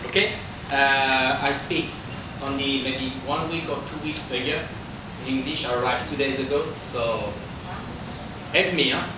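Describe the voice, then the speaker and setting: A man's voice: mid-range voice, fairly even intonation. French-accented man presenting in an echoey room. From France. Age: middle-aged. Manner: halting non-native English with simple phrasing. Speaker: winemaker presenting a tasting. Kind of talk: informal presentation to a group. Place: indoor hotel function room. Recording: roomy, distant microphone with background noise.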